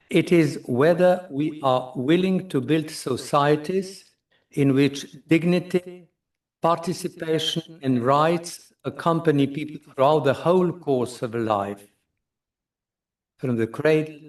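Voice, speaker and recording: Man, very slow delivery, solemn prepared speech, distant mic. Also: a little high. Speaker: keynote speaker. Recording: distant mic in a conference room.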